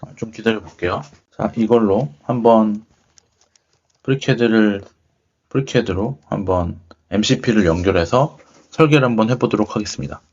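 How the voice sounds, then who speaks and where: A man's voice: lowish voice, moderate pace, a little mellow, a little hiss or hum. A man hosting a tech demo, thinking aloud in a casual, musing, tentative way as he comments on his screen. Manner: musing, tentative, murmuring to himself. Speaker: host of a tech video channel. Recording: dull, lo-fi microphone sound.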